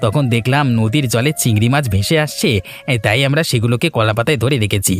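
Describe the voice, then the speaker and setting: A man's voice: medium pitch, fairly quick, soft background music, natural voice. A man voicing a friendly, eager village man in a cartoon, chatty and helpful. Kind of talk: cartoon voice acting.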